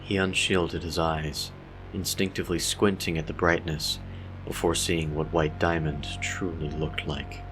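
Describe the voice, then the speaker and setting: A man's voice: very deep voice, level tone, unhurried, rough recording. A man narrating a dramatic horror story with a grave, solemn delivery. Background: original soundtrack music.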